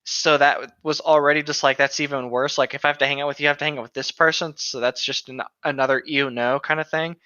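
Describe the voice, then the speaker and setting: A man's voice: fairly high pitch, steady tone, fast, few pauses, bright, forceful tone, smooth, dry recording, lo-fi sound. A man chatting casually and candidly on a podcast, telling rambling personal stories with some swearing. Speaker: co-host of a reading podcast. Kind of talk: casual chat with anecdotes.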